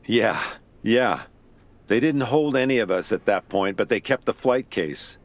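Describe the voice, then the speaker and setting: A weary, wry investigator tells his story in a low voice. The delivery is first-person audio drama narration, recorded very dry. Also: lively intonation, measured pace, dry recording. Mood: reminiscing. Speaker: narrator and lead actor.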